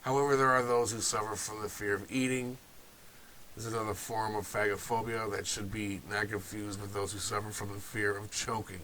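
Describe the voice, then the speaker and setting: A man's voice: fairly low pitch, level tone, a little fast, pushed and bright, a little hiss or hum, low-quality recording. Nasal man getting over a cold. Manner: loose and offhand, with restarts and asides. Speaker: video series host. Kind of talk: informal talk to camera.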